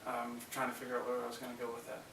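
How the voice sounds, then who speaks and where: Male co-host for casual film chat, bright, forceful delivery, recorded at a distance from the mic. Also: medium pitch, monotone, racing. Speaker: podcast co-host. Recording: echoey room, distant mic, faint noise.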